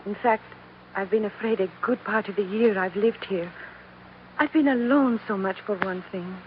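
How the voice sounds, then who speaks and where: An actress playing a genteel, confiding young romantic heroine in an old radio drama, with a soft manner. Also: female voice, mid-range voice, normal speed, lightly acted. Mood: confiding, tender. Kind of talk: 1940s radio drama acting. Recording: muffled old radio recording, faint hiss.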